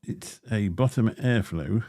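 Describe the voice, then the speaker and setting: A very low, gravelly man chats into a close studio mic in a small home room. His tone is dark and mellow, and he rambles along with plenty of ums and grumbling asides. The sound is dry and close. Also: slow, dry recording. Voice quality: deep voice. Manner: rambling and chatty with many fillers, grumbling asides. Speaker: livestream host. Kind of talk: casual livestream chat while unboxing gear. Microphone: dynamic studio mic close up.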